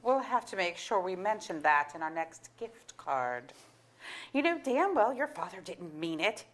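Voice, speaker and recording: A woman's voice: on the low side, very animated, unhurried, fairly bright tone. A woman playing an exasperated middle-aged mother, candid and sardonic, in a staged comedy reading. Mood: dry. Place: auditorium stage.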